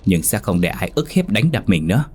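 A man voicing a timid young adopted daughter in a storybook, soft and pleading. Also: fairly low pitch, sing-song, a little fast, breathy edge, faint music behind. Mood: resolute.

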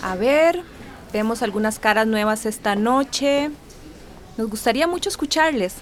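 An encouraging Costa Rican woman leads a support group in a radio drama. Her voice is moderately high and coaxing. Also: animated, unhurried, crowd noise.

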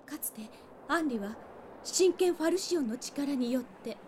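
An actress playing a noble, earnest young princess burdened by duty in a fantasy audio drama, poised, polite and solemn. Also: female voice, sits high, lively intonation, normal speed, a little mellow, slight background noise. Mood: grave.

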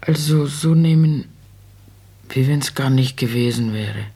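An old mother in a radio play, money-minded and insistent. Her voice is badly husky, with a gravelly creak. She speaks in plain, halting colloquial phrases with a plaintive pull. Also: very deep voice, dark tone, exaggerated voice. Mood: probing, insistent. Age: older. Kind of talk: German radio play acting.

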